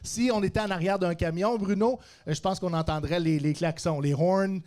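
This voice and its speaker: A man's voice: high voice, normal speed, a little mellow. A man with a Québécois accent hosting a casual live podcast interview in a joking, self-deprecating way. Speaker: podcast host and stand-up comic.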